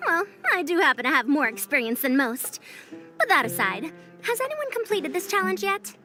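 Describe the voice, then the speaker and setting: A woman's voice: high-pitched, big pitch swings, normal speed, loud music behind the voice. A woman voicing a proud, self-assured veteran scholar in a video game, slightly haughty with old-fashioned phrasing. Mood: smug, confident. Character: confident, proud, brisk female veteran scholar. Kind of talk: voiced video game dialogue.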